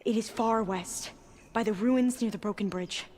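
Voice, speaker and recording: A woman's voice: mid-range voice, a little mellow, natural voice. Woman acting devout, earnest expedition member, faint noise. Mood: guarded, solemn. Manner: formal, measured, reverent. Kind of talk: dramatic video game acting.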